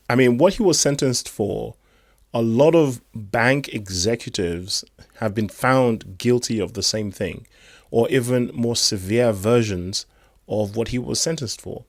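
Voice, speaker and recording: Hoarse guy rambling about crypto news, lowish voice, dry close sound. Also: no room sound. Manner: rambling, chatty asides. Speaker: crypto commentator. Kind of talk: casual commentary on news.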